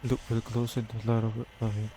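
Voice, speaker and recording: A man's voice: mid-range voice, monotone, slight background noise, natural voice. A man with a Filipino accent chatting casually and playfully while streaming a game. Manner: loud, rapid and playful. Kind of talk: casual chat while playing.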